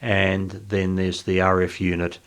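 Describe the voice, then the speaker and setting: A man with a low-pitched voice presents an informal hobbyist walkthrough. He talks without a script, pausing often and feeling his way through each explanation as he goes. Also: monotone, clear, resonant tone. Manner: halting, unscripted, thinking aloud. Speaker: video host, radio hobbyist.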